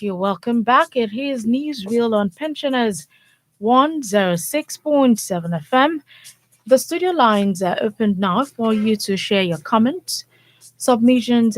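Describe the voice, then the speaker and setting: A woman's voice: a little high, no room sound. A woman with a Nigerian accent presenting radio news, reading formally and hosting from the studio.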